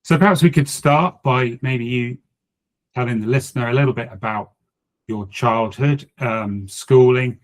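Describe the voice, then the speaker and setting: A man's voice: medium pitch, a little slow. A man hosting a podcast, asking long, tentative questions. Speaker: podcast host. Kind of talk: podcast interview.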